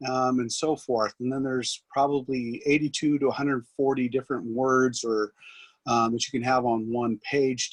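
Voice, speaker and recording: A man giving an instructional software demonstration as a trainer, explaining in a halting way. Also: mid-range voice, no echo, rough recording. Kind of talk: instructional screen demonstration, loosely scripted.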